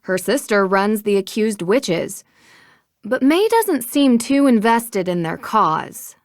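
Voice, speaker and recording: A woman voicing an inquisitive young American detective in a video game, speaking in a measured, thoughtful way. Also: mid-range voice, sing-song, no echo, clean studio sound. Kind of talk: video game dialogue acting.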